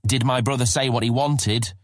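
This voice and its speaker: A man's voice: medium pitch, steady tone, normal speed, no room sound, studio quality, close to an everyday voice. An actor playing a beaten, hungover young male thief, wary and anxious, in a full cast audio drama. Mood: uneasy.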